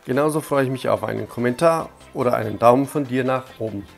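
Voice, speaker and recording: A man's voice: mid-range voice, fast talker, vocal fry, faint music behind. A man with a cold walks the viewer through an online tutorial in a friendly, direct way. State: has a cold. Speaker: YouTube channel host. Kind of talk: casual step-by-step tutorial.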